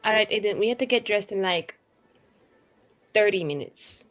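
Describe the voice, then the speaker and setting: A woman's voice: medium pitch, normal speed, faint music behind, close to an everyday voice. A woman voicing a breezy, haughty, flirtatious lady who loves finery, in a fantasy tabletop game. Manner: breezy, dismissive, coy.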